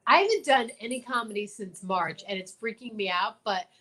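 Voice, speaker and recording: A woman's voice: mid-range voice, animated, a little fast, fairly bright tone. A woman co-hosting a casual talk show, chatting in a gushing, emphatic way and often repeating herself. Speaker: co-host of a comedy talk show. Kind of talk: casual chat.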